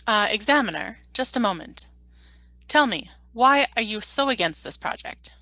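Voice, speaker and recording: Woman playing a crisp, forceful governor. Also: on the high side, lively intonation, normal speed, dry recording. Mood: questioning. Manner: formal, authoritative. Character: stern, questioning colony governor. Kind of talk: scripted radio play reading.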